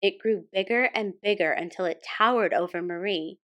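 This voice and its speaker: A woman with a mid-pitched voice narrates a children's picture book. She is a storytime host who reads expressively from the text. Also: normal speed, fairly bright tone.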